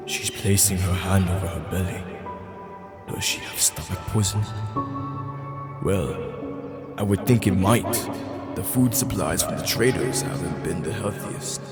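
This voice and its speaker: An actor playing an earnest, observant young warrior and protective lover in a dramatic audio drama, speaking in a pensive way. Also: male voice, on the low side. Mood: worried, pensive. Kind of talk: dramatic audio drama, inner monologue and dialogue.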